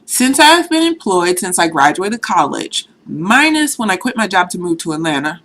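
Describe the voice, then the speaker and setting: Bright-voiced chatty woman from Boston. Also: fairly low pitch, very animated, normal speed. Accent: light Boston. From Boston, USA. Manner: chatty, candid, lots of "you know". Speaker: vlogger. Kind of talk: casual talking-to-camera vlog.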